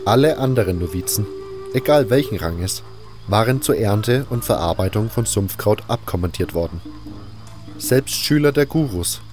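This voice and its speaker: Low-voiced male storyteller over music. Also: darkish tone. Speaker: narrator. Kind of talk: scripted first-person audio drama narration.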